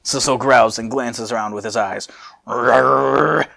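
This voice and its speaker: Gravelly man reading a story aloud. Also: mid-range voice, takes it slowly, pushed and bright. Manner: jokey, laughs at the text. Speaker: host and narrator. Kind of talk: amateur dramatic story reading with casual asides.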